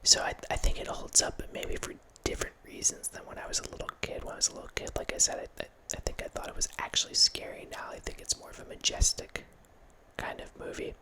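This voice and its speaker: A man's voice: fast, rough recording. Man whispering an ASMR horror ramble. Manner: rambling, with many restarts and soft laughs. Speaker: ASMR channel host. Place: small home room with bookshelves. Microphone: large studio mic close in front, with headphones.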